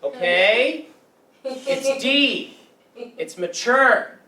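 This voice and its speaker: Man playing an earnest, excitable teenage boy, bright-voiced. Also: very high voice, extremely slow, full character voice. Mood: indignant. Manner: emphatic, dramatic, self-serious. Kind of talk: staged play reading. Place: room at a live staged reading. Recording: roomy, distant mic with background noise.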